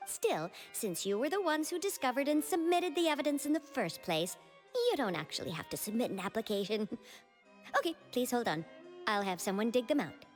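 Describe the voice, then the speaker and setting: A polite, helpful woman clerk from a game. Her voice is fairly high and animated, with an official manner. Soft music plays behind. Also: fairly quick. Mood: obliging. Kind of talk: video game voice acting.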